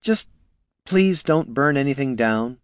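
A man's voice: mid-range voice, sing-song, normal speed, dry recording, studio quality, pitched above the performer's own voice, lightly acted. A man voicing an earnest, anxious male quest organiser in an audiobook, placating and fretful in manner. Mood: pleading.

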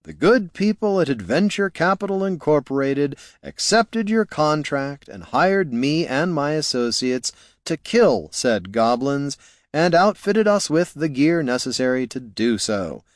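A man voicing a pot-bellied mercenary warrior who speaks in a slow, deadpan, matter-of-fact way. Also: fairly high pitch, no echo, studio quality, voice pushed up. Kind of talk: audiobook character voice.

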